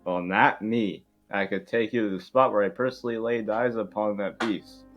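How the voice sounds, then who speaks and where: A man plays a gruff old sea captain from a cartoon, obsessed with his hunt. The voice sits very low and pushes out each claim with blustering emphasis. Faint music runs underneath. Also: fairly even intonation, normal speed, fairly bright tone, lo-fi sound, close to an everyday voice. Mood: boastful. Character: gruff, obsessive old boat captain hunting a lake monster.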